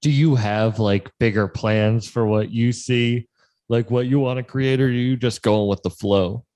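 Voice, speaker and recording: A man's voice: mid-range voice, fairly even intonation, unhurried, a little mellow. A man in his twenties hosting a casual interview in a music studio, joking and swearing freely. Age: 20s. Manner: joking, profane, easygoing. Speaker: podcast host, comedian.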